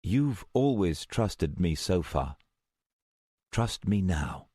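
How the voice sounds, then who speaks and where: A man's voice: low voice, big pitch swings, slow, dark tone, slightly rough, no room sound, clean studio sound, exaggerated voice. A man playing an exasperated, protective man in an audiobook story, insistent and reasoning with a snarky streak. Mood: pleading. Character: exasperated, protective man who can see the future.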